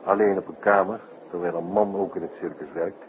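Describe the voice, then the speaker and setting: A man playing an investigator's brisk, informal companion in a 1960s radio drama, with clipped colloquial replies. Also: sits low, fairly even intonation, lightly acted. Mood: confiding. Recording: muffled, noisy 1960s radio recording.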